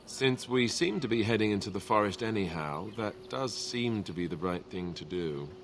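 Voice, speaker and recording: Low-voiced, agreeable warrior. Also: male voice, animated, normal speed, slight background noise. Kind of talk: fantasy game dialogue.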